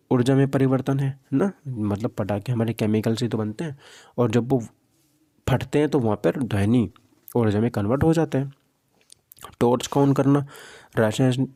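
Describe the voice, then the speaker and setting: Male teacher, mellow dry sound. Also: medium pitch, dry recording. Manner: asks questions and answers them himself; informal, Hindi mixed with English terms. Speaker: physics teacher. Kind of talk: exam practice lesson, question and answer walkthrough.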